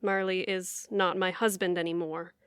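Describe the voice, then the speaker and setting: A woman's voice: lowish voice, level tone, moderate pace, clear, resonant tone, no echo, clean studio sound, close to an everyday voice. A woman playing a touchy, sardonic young adult daughter with a pointed, exasperated manner in an audio theatre comedy-drama. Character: touchy, sardonic grown daughter, freshly divorced.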